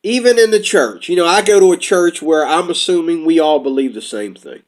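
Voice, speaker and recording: High-voiced man in a rambling monologue. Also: lively intonation, brisk. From United States. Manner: rambling, talks from notes, puts on quoted voices. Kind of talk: casual monologue commentary.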